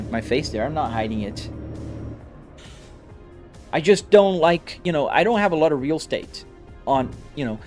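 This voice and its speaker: A man from Brazil, his accent a blend of Brazilian and American, with a slightly high voice, casually answering a live chat from a home driving rig. He talks loosely and restarts his sentences as comments roll in. Soft music hums behind him. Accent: Brazilian Portuguese mixed with American English. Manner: chatty, answers viewer comments on the fly, frequent restarts. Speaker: live streamer.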